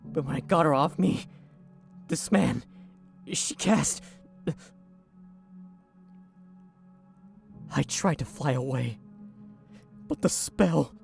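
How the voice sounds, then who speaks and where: Casting: haunted lad on the run, male actor, slow hesitant delivery, audio drama with music bed. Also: a little high, gravelly. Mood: anguished, frantic. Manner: halting, hesitant. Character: traumatized shape-shifting fugitive lad, Lamar, recalling captors. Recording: music under the voice.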